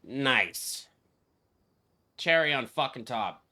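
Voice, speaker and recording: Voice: mid-range voice, animated, drawn-out words, sharp tone. A streamer giving casual reaction commentary on a game, blunt, mocking and full of swearing. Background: background game music.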